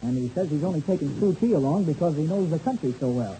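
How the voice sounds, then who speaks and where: Guy playing earnest young flyer kid, soft dark tone, old radio adventure show, hissy dull sound. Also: a little high, lively intonation, fast talker, close to an everyday voice. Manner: boyish, earnest, slangy exclamations. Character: eager, earnest young boy pilot. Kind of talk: 1930s radio adventure serial acting. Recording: muffled, noisy old radio recording.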